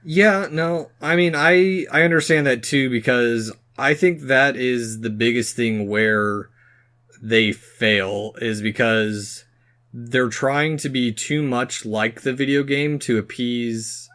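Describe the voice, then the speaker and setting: A man's voice: mid-range voice, fairly even intonation, slow pace, fairly bright tone. Man casually explaining his opinions. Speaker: podcast co-host.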